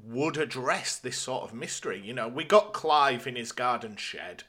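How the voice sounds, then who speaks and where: A man's voice: medium pitch, expressive, moderate pace, pushed and bright. A man co-hosting a podcast in casual, conversational chat. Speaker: podcast co-host.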